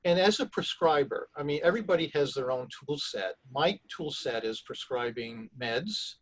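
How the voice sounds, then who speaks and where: A man's voice: a little high, animated, moderate pace, rough recording. Inquisitive male prescriber asking questions. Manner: inquisitive, repeats words while thinking. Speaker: pediatric prescriber interviewing a guest. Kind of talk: conversational interview.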